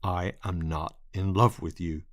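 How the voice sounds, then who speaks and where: Brief: man voicing a cold, curt lover, low and dry, clipped delivery. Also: fairly even intonation, a little slow, dry recording. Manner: clipped and cold, bursting into swearing.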